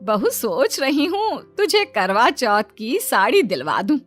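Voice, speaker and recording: A woman voicing a bossy, vain middle-aged mother-in-law in a children's cartoon story, with a sharp, boastful manner. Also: a little high, very animated, takes it slowly, soft background music, exaggerated voice. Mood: smug.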